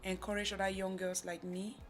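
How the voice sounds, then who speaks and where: Female podcast guest, Cameroonian accent, medium pitch, halting reflective delivery, faint music. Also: flat delivery. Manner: halting, pausing mid-thought. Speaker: podcast guest, charity representative. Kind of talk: reflective personal account.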